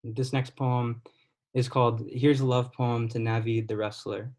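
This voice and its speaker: Young guy reading poems over a video call, steady and deliberate, mid pitched, trails off quiet at line ends. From United States. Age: 20s. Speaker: poet. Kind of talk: poetry reading.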